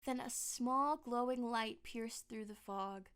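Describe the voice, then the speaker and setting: A woman narrating a storybook in rhyming verse with expressive, theatrical storytelling. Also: on the high side, slow pace, clear, resonant tone.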